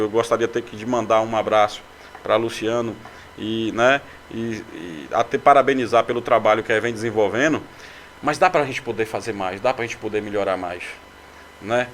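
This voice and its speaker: A man's voice: medium pitch, brisk, fairly bright tone, a little hiss or hum. A man from Brazil, a city councilman and candidate, answering radio interview questions with many fillers and restarts. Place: local radio studio.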